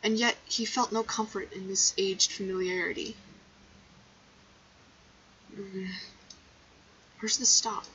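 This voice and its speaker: Young female game streamer: goofy casual chatter, bright and sharp, slightly lo-fi bedroom mic. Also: mid-range voice, fairly even intonation, slow pace, long pauses, slight background noise. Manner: playful, goofy, breaks into song. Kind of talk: casual livestream chat.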